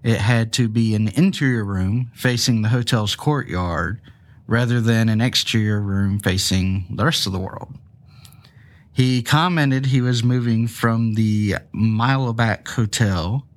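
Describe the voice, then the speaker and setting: A man's voice: lowish voice, a little slow. A man hosting a true crime podcast, sounding stuffy from a cold, telling the case with casual, jokey asides. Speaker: podcast host.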